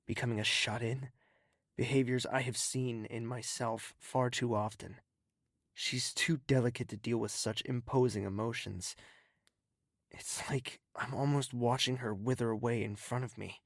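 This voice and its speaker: An actor playing a gentle, protective, courtly confidant, speaking softly and earnestly with formal manners in an audio drama. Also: male voice, mid-range voice, no echo, clean studio sound. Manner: soft, earnest, reassuring, formal.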